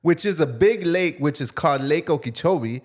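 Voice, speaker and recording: A man's voice: on the high side, expressive, moderate pace. A young man from Florida co-hosting a casual podcast, joking and laughing while he restarts the intro. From Belle Glade, Florida. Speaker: podcast co-host. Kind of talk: casual chat.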